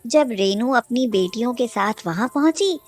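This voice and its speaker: A woman's voice: a little high, animated, normal speed. A woman reading a scripted story as a storyteller. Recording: music behind the voice.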